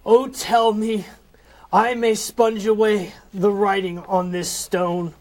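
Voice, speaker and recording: A repentant old miser in a comic audio play, with a very high voice for a male. He speaks slowly and theatrically, full of exclamation and raw emotion. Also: flat delivery. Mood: desperate pleading. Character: repentant old male miser, desperate then giddily overjoyed.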